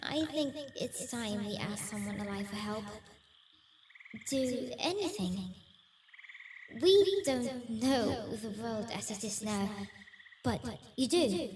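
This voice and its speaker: A woman's voice: on the high side, expressive, slow, darkish tone. A woman playing a worried, pleading female ghost in a fantasy audio roleplay. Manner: earnest, pleading. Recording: echoey, distant, with clear background noise.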